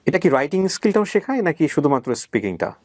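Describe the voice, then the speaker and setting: A man's voice: a little high, sing-song, quick pace, a little mellow, no room sound. A middle-aged Bangladeshi man hosting an interview, speaking emphatically to guests and viewers and mixing in English words. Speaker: interview host. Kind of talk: conversational interviewing and addressing viewers.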